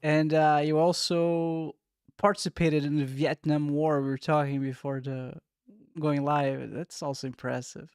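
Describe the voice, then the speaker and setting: A man's voice: on the high side, level tone, a little slow, darkish tone, smooth, no echo, studio quality. A man hosting a podcast, chatty and eager as he greets listeners and interviews his guest. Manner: eager, chatty, asks for engagement. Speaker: podcast host.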